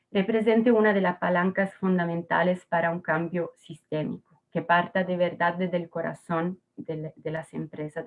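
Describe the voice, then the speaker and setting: A woman hosting an online webinar, presenting earnestly with frequent fillers and restarts. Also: fairly low pitch. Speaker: webinar host from a responsible-ownership organization. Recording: tinny phone-like audio.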